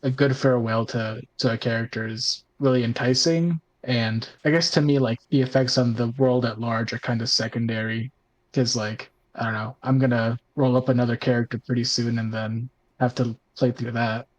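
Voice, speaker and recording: A man's voice: mid-range voice, fairly even intonation, moderate pace, poor audio. A man in casual roundtable conversation, informal and a little tentative, checking in as he goes. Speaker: podcast regular, tabletop role-playing player.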